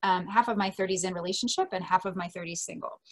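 A woman's voice: fairly low pitch, fast. An American woman in her mid thirties talking casually and expressively about herself as an interview guest. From Delaware, United States. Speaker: podcast guest, teacher and coach. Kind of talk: casual personal storytelling in an interview.

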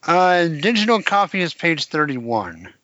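A man co-hosting a podcast, chatting casually about comics, animated and laughing often. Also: fairly high pitch, a little slow, no echo, muffled recording. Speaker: podcast co-host.

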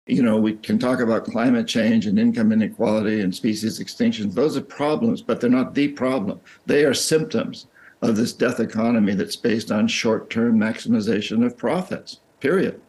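An older American man speaking as an interview guest, reflective and halting, often repeating his words as he explains. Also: lowish voice, steady tone, a little mellow. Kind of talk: interview conversation.

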